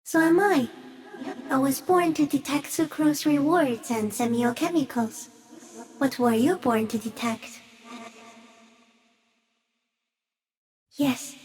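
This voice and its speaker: A shy, ancient giant stick insect speaks in a high feminine voice. The creature is gentle and solemn, delivering poetic game monologue with hushed, otherworldly wonder. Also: female voice, unhurried. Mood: gentle, matter-of-fact.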